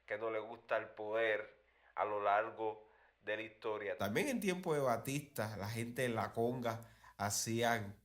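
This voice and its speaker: A man's voice: fairly low pitch, measured pace, fairly bright tone. Cuban man hosting a conversational history talk. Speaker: podcast host.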